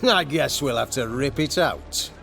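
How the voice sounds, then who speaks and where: A man's voice: medium pitch, lively intonation, measured pace, creaky, over music. An actor playing a brutish, menacing witch hunter in a video game, with a taunting, crude manner.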